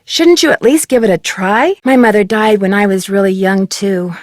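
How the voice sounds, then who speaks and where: A woman playing an inquisitive, polite young American detective in a video game, speaking earnestly and clearly. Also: medium pitch, moderate pace, dry recording, clean studio sound, lightly acted. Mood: helpful, earnest. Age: young adult. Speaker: player character in an adventure game. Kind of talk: scripted video game dialogue.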